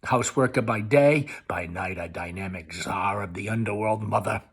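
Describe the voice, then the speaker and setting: A man's voice: fairly low pitch, moderate pace, breathy edge, pitched below the performer's own voice. A man reading the part of an angry, sarcastic young man railing at his mother in a stage play. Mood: scathing sarcasm. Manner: bitter, ranting, mocking. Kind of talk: dramatic read-aloud of a stage play.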